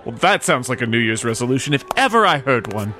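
A man playing a dim, cheery upper-class gentleman in a radio drama parody, plummy and bumbling. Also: medium pitch, sing-song, fast, soft background music. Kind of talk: old-time radio drama parody acting.

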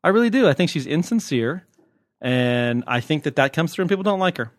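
A man's voice: a little high, animated, brisk, no echo, clean studio sound. A man co-hosting a podcast in casual, opinionated banter, blunt and wryly teasing. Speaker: podcast co-host.

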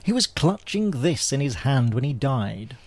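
An actor playing an urbane, wry British spy in a spoof radio drama, with a droll, understated British delivery. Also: male voice, mid-range voice, sing-song, measured pace, darkish tone, dry recording. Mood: wry, composed. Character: urbane, wry male British spy, unflappable gentleman agent.

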